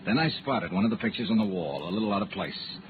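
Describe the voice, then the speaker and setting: A man's voice: fairly low pitch, lively intonation, fast talker, background noise, natural voice. An actor playing a hardboiled male investigator in old radio noir, with a clipped, wry, dramatic delivery. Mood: brooding, intent. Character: hardboiled male insurance investigator narrating his own case. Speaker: lead detective and narrator. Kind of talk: 1940s-50s radio noir acting. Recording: muffled old radio recording with music.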